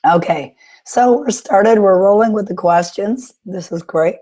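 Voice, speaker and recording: A woman hosting a live webinar question-and-answer session with a sore throat, talking in an encouraging, coaching way with frequent pauses. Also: medium pitch, mellow. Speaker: webinar host and author coach.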